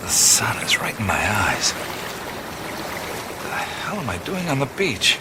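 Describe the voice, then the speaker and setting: An actor playing a bewildered, wisecracking adventurer in a radio play, with halting, wry exclamations. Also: male voice, medium pitch, wildly swooping pitch, heavy background noise. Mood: groggy, wry. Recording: studio radio play with loud music. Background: loud music and effects.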